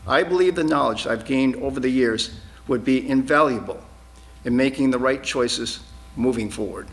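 A man delivering a formal, prepared candidate statement in a measured, scripted way. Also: medium pitch. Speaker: school board candidate. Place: TV studio.

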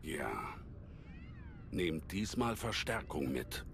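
A man playing a calm old alien Jedi master in a German game dub, measured and scholarly, with a deep gravelly voice. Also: slight background noise, heavily acted. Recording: sci-fi game ambience behind voice.

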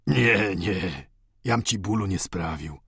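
Doting elderly father, acted by a male narrator, audiobook drama: husky, creaky, effusive, fervently tender. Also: low voice, slow pace, a bit bright, no room sound, studio quality, heavily acted. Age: older. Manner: effusive, pleading, sentimental. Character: doting, tearful old father, fervently devoted to his daughter.

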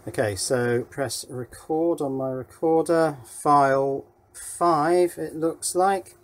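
A man's voice: on the high side, takes it slowly. A man giving a casual hands-on electronics demonstration, thinking aloud as he works. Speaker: electronics hobbyist presenter. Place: indoor workshop.

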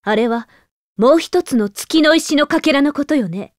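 A woman's voice: sits high, expressive, normal speed, a little mellow, no room sound, clean studio sound. A voice actress playing a stern, resolute young noblewoman in an anime game, haughty and commanding.

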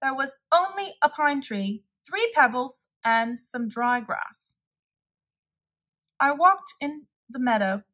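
A woman voicing a cheerful young male frog who tells a childhood story in an animated, emphatic way for small children. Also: high-pitched, slow pace, heavily acted. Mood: bright storytelling.

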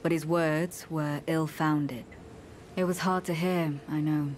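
An actress playing a coolly ruthless, devout woman knight in a fantasy game, speaking formally and with measured solemnity. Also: low voice, fairly even intonation, a little mellow, slight background noise. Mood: grave, measured. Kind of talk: scripted fantasy game dialogue.

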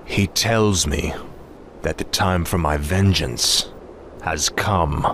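Arthas, deep-voiced cold young prince. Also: male voice, fairly bright tone, a little hiss or hum, exaggerated voice. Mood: cold resolve. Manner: grave, cold, measured. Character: young human prince turned cold, vengeful warrior. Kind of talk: video game cutscene acting.

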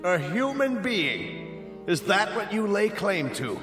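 An actor playing a cold, pompous state official who presides over a tribunal in a radio drama, speaking in emphatic oratory. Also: male voice, high voice, sing-song, slow, exaggerated voice. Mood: contemptuous. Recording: echoey hall, music underneath.

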